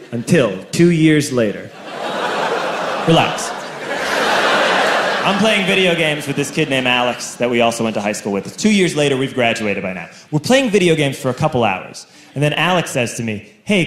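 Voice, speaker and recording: A man doing animated stand-up comedy storytelling, acting out the dialogue for a live audience. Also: medium pitch, moderate pace. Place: on stage in a large venue. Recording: echoey live venue with audience noise. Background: laughing crowd.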